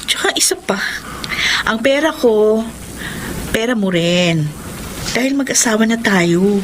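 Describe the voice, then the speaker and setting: An actress playing a caring but nagging young wife in a Filipino radio drama, expressive and conversational. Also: female voice, fairly high pitch, a little slow. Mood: earnest, firm. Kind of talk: Tagalog radio drama acting. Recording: studio radio drama with clear background noise.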